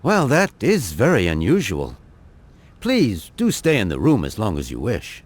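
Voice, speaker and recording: Obliging village innkeeper, lowish pitch, very lively intonation, formal courtesy, adventure game. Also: male voice, a little slow, slightly rough, exaggerated voice. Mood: earnest, obliging. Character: polite, obliging male village innkeeper, middle-aged or older.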